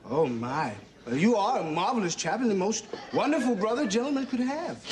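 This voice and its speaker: An actor putting on an upper-class English accent as an affected, genteel gentleman brother in a sitcom's amateur play, mannered and stilted. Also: sits high, animated, a little fast, some chatter behind. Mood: affected, prim. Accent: put-on upper-class English. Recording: noisy stage recording with audience.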